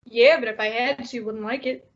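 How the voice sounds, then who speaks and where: A woman's voice: a little high, fairly even intonation, normal speed, natural voice. A woman playing a nervous, eager male cab driver with stammering, slangy talk in an old-time radio drama. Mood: alarmed. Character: eager, nervous, slangy male New York-style cabbie. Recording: dull lo-fi audio.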